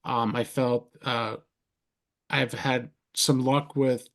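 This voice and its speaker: A man hosting a podcast over a video call, rambling warmly, restarting his sentences and heaping compliments on his guests. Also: medium pitch, level tone, slow. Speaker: podcast host. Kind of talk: casual interview chat.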